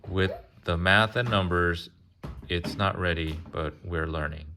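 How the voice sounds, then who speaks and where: Slow, low-voiced man reading aloud. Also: pushed and bright, slight background noise. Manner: interactive, pausing to ask and explain. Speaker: adult reading a picture book to a child. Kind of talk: casual read-aloud with chatty asides.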